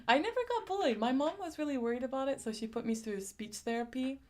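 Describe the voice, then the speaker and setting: A woman's voice: high voice, lively intonation, normal speed, a little mellow. A young woman from San Diego with a lisp, chatting casually on a live stream, reading chat comments aloud and laughing often. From San Diego, USA.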